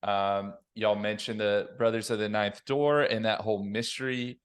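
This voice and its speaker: A man's voice: lowish voice, fairly even intonation, moderate pace, clean tone. A man on a podcast panel giving his opinions in casual conversation.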